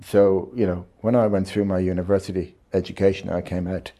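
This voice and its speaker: A man in his fifties from Ireland with an Irish accent, a bank managing director answering interview questions in a measured way, often restarting his phrases. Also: low voice, little pitch movement, mellow, a little hiss or hum. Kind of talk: interview conversation.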